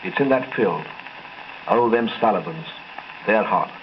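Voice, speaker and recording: A man voicing a rough Cockney crook in a thriller story, hoarse, urgent and complaining. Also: lively intonation, a little slow. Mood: tense grumbling. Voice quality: hoarse, low. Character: rough, grumbling, unshaven Cockney crook in danger. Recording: dull, noisy 1920s gramophone record.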